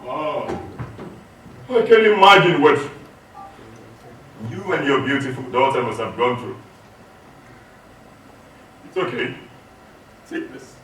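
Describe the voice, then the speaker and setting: Very echoey distant stage recording, actor as magnanimous gentleman, mid-pitched, emphatic, reassuring, theatrical. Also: slow pace, pauses often, a little hiss or hum. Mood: sympathetic. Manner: emphatic, reassuring, projected delivery. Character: generous, important gentleman, benefactor of beggars. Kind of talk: theatrical one-man stage acting. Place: hotel hall stage.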